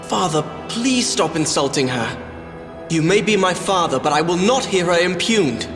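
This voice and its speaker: An actor playing an earnest, noble young prince in a fantasy game, with dramatic, formal, old-fashioned diction. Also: male voice, high-pitched, expressive, normal speed. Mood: defiant. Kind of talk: theatrical fantasy game acting. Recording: roomy reverberant voice over music.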